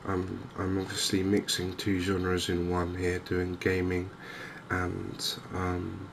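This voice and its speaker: A softly spoken man giving hesitant, unhurried ASMR-style commentary over a video game. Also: low voice, completely flat delivery. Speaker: gaming video host. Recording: clear game ambience noise behind voice. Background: outdoor wildlife ambience from a fishing game.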